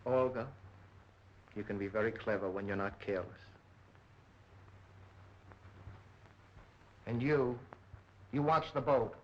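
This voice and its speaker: A man playing a menacing, commanding spy ringleader who scolds underlings in a deliberate manner, in a 1930s film. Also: medium pitch, a little hiss or hum, close to an everyday voice. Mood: cautioning. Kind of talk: 1930s film villain acting. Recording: muffled old 1930s film soundtrack.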